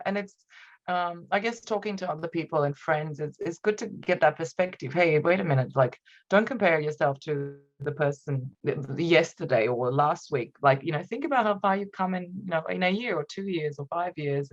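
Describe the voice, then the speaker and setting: A woman's voice: low voice, normal speed, dull audio. A woman in her thirties with a Romanian accent, a native Romanian speaker, talking as a podcast guest in a reflective, hesitant way with frequent laughs. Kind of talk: conversational interview.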